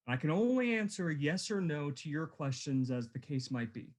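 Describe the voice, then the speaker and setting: An actor playing a kindly, good-humored nephew in a radio play, speaking in a jovial, earnest way. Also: male voice, fairly high pitch, very animated, normal speed.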